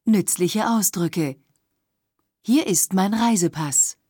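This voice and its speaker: A woman reading phrases for a language course, slowly and carefully, recorded close to the mic. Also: medium pitch. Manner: slow, careful, clearly articulated phrases.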